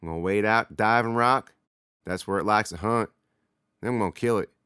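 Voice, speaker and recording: A man's voice: pushed and bright, smooth, no echo, studio quality. Man playing a drawling teen big brother. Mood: reassuring. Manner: slow, drawling, low storytelling. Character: teasing teenage older boy, spinning spooky tales.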